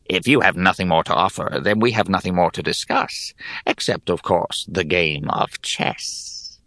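A man voicing an ancient, sly hag in an audiobook fantasy tale, with a gravelly voice and a languid, mock-polite manner. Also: sits low, a little slow, bright, forceful tone, dry recording, studio quality, full character voice. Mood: cold, dismissive. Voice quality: creaky, gravelly. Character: ancient sly yawning hag, coolly dismissive. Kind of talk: audiobook character acting.

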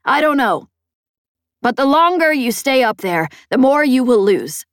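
A woman's voice: high-pitched, very animated, measured pace, dry recording, studio quality, pitched above the performer's own voice. A woman voicing a tough, commanding pirate boss in an audiobook, pressing and persuasive as she taunts. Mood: ominous warning. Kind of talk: audiobook character dialogue.

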